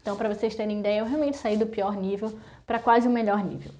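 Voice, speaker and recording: A young woman from Brazil talking casually to her viewers, listing things in quick chatty runs. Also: mid-range voice, fairly even intonation, dark tone, dull audio. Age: young adult. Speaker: vlogger. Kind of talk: casual talk to camera.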